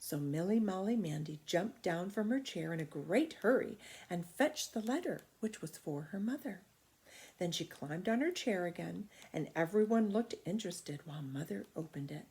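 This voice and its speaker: A woman reading a children's story aloud in expressive narration. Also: on the low side, moderate pace.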